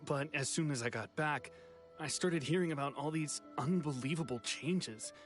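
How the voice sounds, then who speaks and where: A man's voice: medium pitch, lightly acted. A man voicing a nervous, apologetic fugitive in a video game, polite and hesitant, with music behind him. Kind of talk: English video game character acting.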